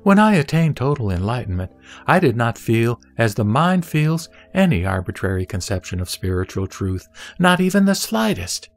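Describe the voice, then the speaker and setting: A man playing the Buddha as a serene teacher, speaking in a measured, solemn way in an audio drama of scripture. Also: medium pitch, sing-song. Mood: calm, instructive. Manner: measured, solemn, didactic delivery. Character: the Buddha, serene male teacher instructing a disciple. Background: background music.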